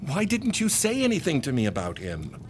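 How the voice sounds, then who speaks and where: Proud mentor, dramatically swooping voice. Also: male voice, a little high, wildly swooping pitch, normal speed, a little hiss or hum. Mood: indignant, probing. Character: concerned, proud senior male scholar and mentor. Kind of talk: audio drama acting. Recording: music under the voice.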